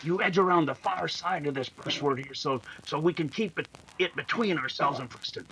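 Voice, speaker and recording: A man's voice: fairly high pitch, normal speed, bright, forceful tone, a little hiss or hum, rough recording. An actor playing a tough, cautious outlaw henchman in an old-time radio adventure, with coarse, folksy talk. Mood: wary, conspiratorial.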